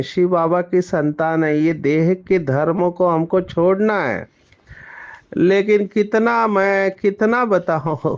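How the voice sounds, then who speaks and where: Slow, clear-voiced male spiritual teacher. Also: high voice, dull audio. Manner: slow, explanatory, mixing in English words. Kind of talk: spiritual discourse.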